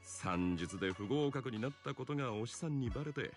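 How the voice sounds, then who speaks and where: A man's voice: medium pitch, expressive, racing, close to an everyday voice. A man playing a self-assured senior martial-arts disciple in an anime-style game, smug and theatrical. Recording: loud game music under dialogue.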